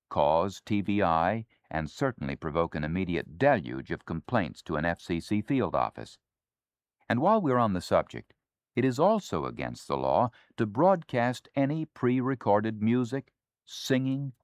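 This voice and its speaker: American guy narrating a 70s how-to record, low voice, formal and authoritative, dry sound. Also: no echo. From United States. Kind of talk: scripted instructional narration. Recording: dry 1970s spoken-word LP.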